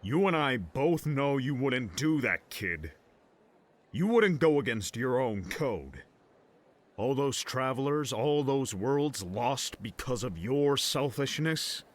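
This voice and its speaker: A man's voice: medium pitch, animated, slow. An actor playing a smug, menacing older man who leads a mob, taunting and condescending, in an audiobook drama. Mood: scornful. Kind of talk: audiobook drama villain acting.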